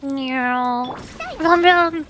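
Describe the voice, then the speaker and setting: High-pitched playful woman streaming a game. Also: extremely slow, fairly bright tone, a little hiss or hum. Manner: chirpy sound effects and exclamations. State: sore voice from hours of streaming. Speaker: livestreamer, vtuber. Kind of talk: playful gaming stream commentary, reading game text aloud.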